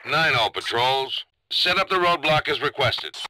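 Game police officer, intense and clipped, very forceful, lively pitch, over radio. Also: male voice, a little slow, a little hiss or hum, rough recording. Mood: urgent. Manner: clipped, urgent. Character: intense, urgent male highway patrol officer. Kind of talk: video game radio chatter.

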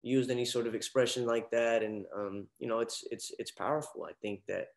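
Young actor guest, sincere, stumbles and repeats, on a video call. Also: male voice, mid-range voice, steady tone, creaky. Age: young adult. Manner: halting, repeats words, earnest. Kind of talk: casual interview chat. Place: indoor room, video call.

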